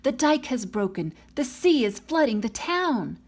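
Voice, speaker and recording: A woman's voice: a little high, big pitch swings, unhurried, pitched above the performer's own voice, full character voice. A woman voicing alarmed villagers in a children's story, exclaiming with urgency. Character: alarmed villagers watching a flood.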